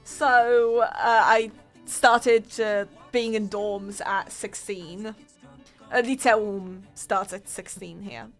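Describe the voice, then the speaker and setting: Giggly young Polish woman streamer, slow. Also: medium pitch, steady tone, faint music behind. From Poland. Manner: giggly, self-mocking, chatty. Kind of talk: casual storytelling to chat.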